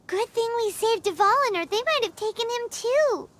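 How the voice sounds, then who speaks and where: A squeaky, tiny fairy companion. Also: female voice, lively intonation, normal speed, a bit bright, no echo. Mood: chirpy. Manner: squeaky, childlike, refers to herself by name. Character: tiny floating fairy companion, chirpy and excitable. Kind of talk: video game dub acting.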